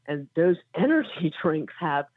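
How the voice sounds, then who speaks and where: A woman's voice: sits low, big pitch swings, a little slow, dry recording. A woman psychotherapist guest explaining things in a friendly way over the phone, with many fillers. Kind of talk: phone-in interview. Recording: tinny phone line.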